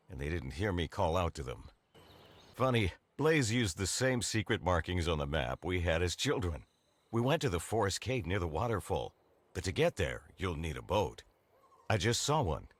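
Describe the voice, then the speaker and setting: An actor playing an earnest, grateful uncle in scripted fantasy game dialogue. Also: male voice, sits low, dry recording. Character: grateful, earnest uncle freed from a fox curse.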